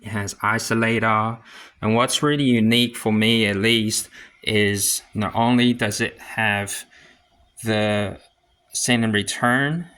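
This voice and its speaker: A man with a fairly low voice talks at a very slow pace through a casual hands-on gear demonstration. He thinks aloud as he goes, in short, halting phrases, like a hobbyist DJ showing how his equipment works. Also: fairly even intonation, a bit bright, smooth.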